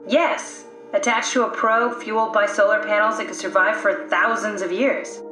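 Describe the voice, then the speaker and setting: A woman's voice: mid-range voice, steady tone, moderate pace, natural voice. An actress voicing a pragmatic female scientist whose mind lives in a machine, with a dry, matter-of-fact way of explaining things in a video game. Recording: echoey room, music underneath.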